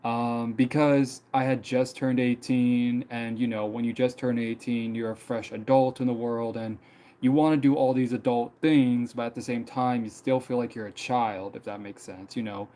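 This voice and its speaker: Young man, mid-twenties, mellow relaxed tone, loose rambling live-stream chatter. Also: mid-range voice, normal speed. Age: 20s. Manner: rambling, many fillers and repeats. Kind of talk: casual personal storytelling.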